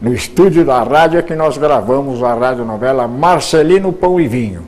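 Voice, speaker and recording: An older man, a retired radio producer, reminiscing in an interview, with halting speech full of repeated words and restarts. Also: mid-range voice, animated, darkish tone.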